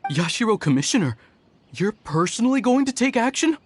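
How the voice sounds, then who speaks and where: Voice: mid-range voice, very animated, a little fast, soft background music, close to an everyday voice. An actor voicing a frightened young male retainer in a video game, speaking in a shaken, anxious way.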